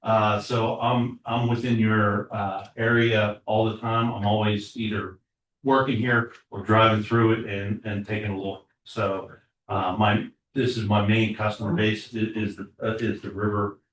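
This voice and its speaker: A low-voiced man reports to a small borough council, stumbling through fillers and false starts. He sits well away from the microphone. The room is echoey, with a faint hiss underneath. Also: little pitch movement, a bit bright. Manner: halting, many filler uhs and repeated starts. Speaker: code enforcement officer. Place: municipal council chamber. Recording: echoey chamber audio from far off.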